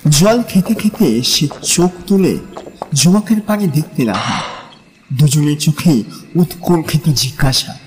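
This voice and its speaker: A man narrating a literary story as a dramatic audiobook, measured and suspenseful. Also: on the high side, animated, a little mellow. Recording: long reverb on the voice. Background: faint music.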